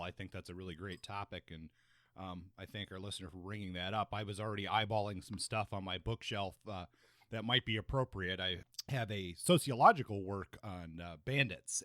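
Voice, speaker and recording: Bright-voiced man, casual podcast host. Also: low-pitched, dry recording, studio quality. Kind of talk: casual book discussion.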